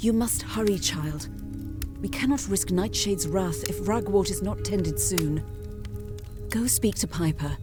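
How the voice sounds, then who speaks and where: A woman's voice: mid-range voice, a little slow, close to an everyday voice. A woman voicing a stern, urgent rabbit elder in a fantasy visual novel, speaking formally and with command. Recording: music behind the voice.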